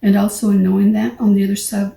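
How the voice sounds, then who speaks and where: A woman's voice: mid-range voice, level tone, quick pace, gravelly. A woman in her sixties from El Paso, Texas, giving an earnest, reflective acceptance speech for an award. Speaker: award recipient. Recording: echoey room, distant mic, soft music.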